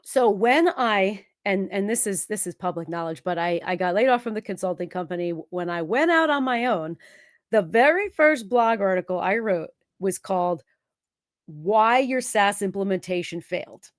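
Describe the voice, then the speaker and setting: A woman fighting a cold hosts a live question-and-answer stream, sniffling now and then. She talks in a chatty, self-interrupting way, often chiming in with quick agreement. Her voice sits at a middle pitch in a dry, close recording. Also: animated, no echo. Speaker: host, productivity consultant.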